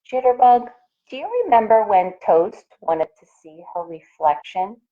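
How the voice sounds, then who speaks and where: A woman voicing a friendly goose in a children's storybook with emphatic, measured storytelling. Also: mid-range voice, animated, narrow, tinny audio, voice pushed up, natural voice. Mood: encouraging.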